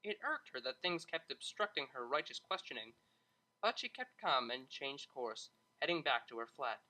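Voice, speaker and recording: An expressive storyteller reads scripted fiction with a bright, crisp edge. The pitch sits in a middle register and moves around a lot, keeping the narration animated. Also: rough recording.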